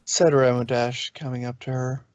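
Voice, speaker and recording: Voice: medium pitch, level tone, moderate pace, darkish tone, no room sound, muffled recording. A narrator reading a story aloud in a storybook style, sometimes stumbling over a word and correcting it. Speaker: narrator, fan fiction reader.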